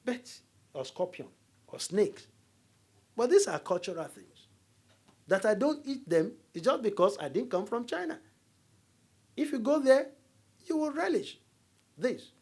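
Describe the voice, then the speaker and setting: A man's voice: extremely high pitch, measured pace, long pauses, slightly rough. A middle-aged Nigerian man with a Nigerian accent, giving a university lecture as a diplomat, telling stories and jokes to the audience. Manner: storytelling, animated, jokes with audience.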